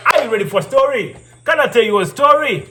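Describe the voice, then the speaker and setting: A man performing as a live storyteller and riddle host, theatrical, repeating phrases and pausing for effect. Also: very high-pitched, expressive, very noisy. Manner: theatrical, repeats phrases, pauses before answers. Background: music.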